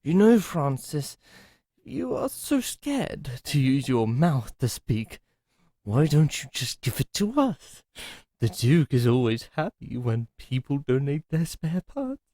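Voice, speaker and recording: A man acting in an audio roleplay as a playful, sinister manor servant, talking slowly and theatrically. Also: medium pitch, big pitch swings, dark tone, no room sound, clean studio sound. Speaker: servant called Happiness greeting a captive.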